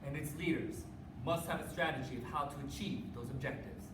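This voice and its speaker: A woman's voice: deep voice, fairly quick. A young adult woman delivering a rehearsed dramatic readers theater speech as one of a student team. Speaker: readers theater performer on a college forensics team. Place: indoor room, live stage performance. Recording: echoey room from far off, noisy.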